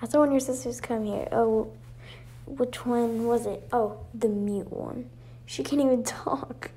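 A woman voicing a menacing, taunting shadow villain in an amateur cartoon, with a mocking, drawn-out delivery. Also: on the high side, fairly even intonation, mellow, close to an everyday voice. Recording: noisy amateur home recording.

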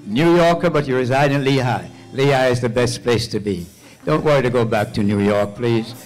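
A man's voice: medium pitch, moderate pace, faint music behind, rough recording. An older man, a pastor, walking the pews of a church sanctuary with a handheld mic, greeting visitors by name and calling on the congregation to say amen. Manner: warm repeating of names, calls for amen.

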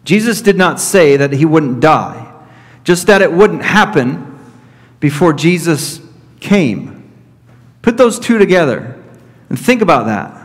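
A man in his thirties, a pastor preaching an expository sermon from a pulpit, teaching deliberately and repeating his key points. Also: fairly high pitch, very animated. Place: church sanctuary at a pulpit. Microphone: fixed camera at middle distance. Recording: reverberant church hall.

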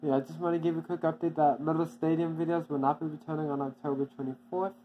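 A channel owner giving a casual, off-the-cuff update, halting and repeating itself often with many restarts. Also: mid-range voice, steady tone, lo-fi sound, slight background noise.

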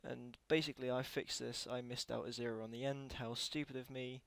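A man giving a casual software tutorial as a voice-over, talking haltingly and correcting himself as he goes. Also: medium pitch, level tone, vocal fry, clean tone, dry recording. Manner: halting, self-correcting while typing. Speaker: tutorial creator.

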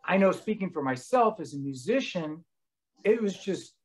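American man, halting casual talker. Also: high-pitched, expressive, husky. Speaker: host and touring musician. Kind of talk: casual video-call chat. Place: room at home with bookshelves.

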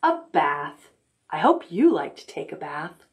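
A middle-aged woman hosting a children's storytime, reading aloud in simple, expressive language for toddlers. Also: deep voice, a little slow, gravelly. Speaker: library storytime host. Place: indoor room, recorded on video.

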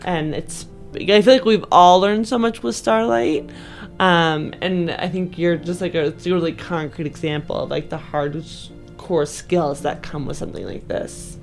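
Female co-host, slightly low register, informal conversational read, background music. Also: measured pace. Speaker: podcast co-host. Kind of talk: casual chat.

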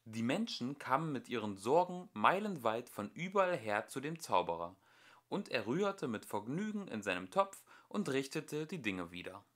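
A man narrating a storybook as an amateur audiobook reader, in an expressive storytelling manner. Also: mid-range voice, normal speed. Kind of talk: storybook narration, read aloud.

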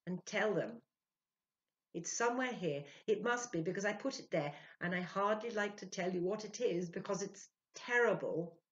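A woman's voice: mid-range voice, moderate pace, pitched above the performer's own voice, natural voice. A woman voicing the fretful, pleading ghost of a young man in a storybook reading, urgent and trembling. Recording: dull, lo-fi sound.

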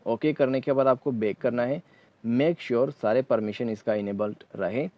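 A man with a mid-pitched voice talks listeners through phone steps as a tutorial host. He is instructional and often checks that they follow. The voice-over sounds dull and lo-fi. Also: unhurried. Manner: instructional, repeatedly checking in with "okay". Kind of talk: spoken step-by-step phone tutorial.

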